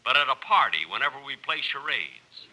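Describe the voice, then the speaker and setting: A man's voice: medium pitch, sing-song, fairly quick, bright, forceful tone, exaggerated voice, rough recording. An actor playing an earnest adventurer narrating his own jungle tale in 1950s radio comedy style. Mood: dramatic, deadpan. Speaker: storyteller. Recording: old radio recording, faint noise.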